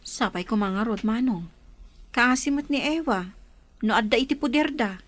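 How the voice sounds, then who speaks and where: An actress playing a concerned younger sister in conversation, with a Filipino accent, in a radio drama. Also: female voice, a little high, lively intonation, normal speed.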